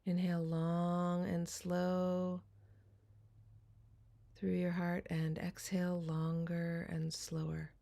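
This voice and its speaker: A woman leading a guided meditation in a soft, breathy, deliberate voice with long pauses. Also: on the low side, monotone.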